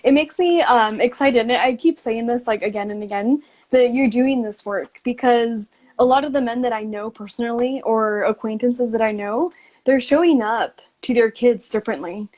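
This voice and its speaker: Woman hosting a podcast, bit higher pitched, casual n supportive, says like a lot. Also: moderate pace, darkish tone. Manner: supportive, informal, frequent "like". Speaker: podcast host. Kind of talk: casual, reflective conversation.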